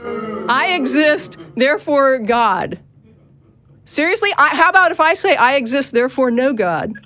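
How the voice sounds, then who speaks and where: A woman's voice: high voice, expressive, moderate pace, bright, forceful tone. A woman hosting a call-in show, debating a caller in an argumentative, insistent manner.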